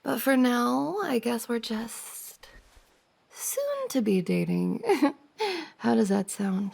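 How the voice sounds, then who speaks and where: Woman acting as a giggly teasing girlfriend-to-be, slow n soft asmr roleplay, really creaky, kinda high voice. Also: very animated, exaggerated voice. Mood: shy, cosy. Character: giggly, teasing young woman confessing to her crush. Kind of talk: intimate ASMR roleplay, acted as one side of a conversation.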